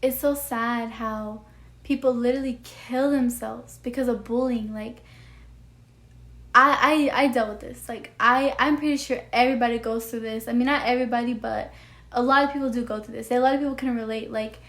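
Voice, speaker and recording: A young woman with a soft, childlike voice, talking earnestly to her viewers in a rambling way, full of "like" and "you know". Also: fairly high pitch, fairly even intonation, moderate pace. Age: young adult. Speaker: vlogger. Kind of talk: heartfelt personal talk to camera.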